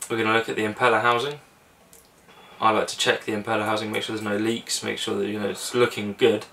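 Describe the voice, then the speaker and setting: A man's voice: lowish voice, fast talker, gravelly, far from the mic, slight background noise. A young man, casually explaining boat engine checks step by step in a small cabin. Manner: relaxed, practical, talks through steps with fillers. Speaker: sailing vlogger.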